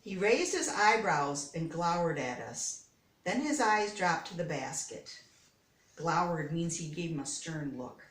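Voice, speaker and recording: A woman reading a children's story aloud, pausing to explain words and pictures as she goes. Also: on the low side, sing-song. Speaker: storybook reader. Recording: echoey room, distant microphone.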